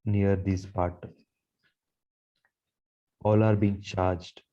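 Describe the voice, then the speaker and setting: A man leading a guided meditation in a soothing, measured manner, repeating phrases as he goes. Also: sits low, flat delivery, dark tone, dull audio. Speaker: guided meditation leader, Reiki teacher.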